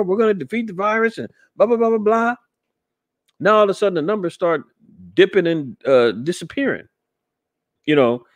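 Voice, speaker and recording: A man's voice: fairly high pitch, no room sound. Rambling skeptical man, dry close sound. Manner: rambling, skeptical, many fillers and restarts. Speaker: podcast host commenting on news. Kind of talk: casual commentary reading an article.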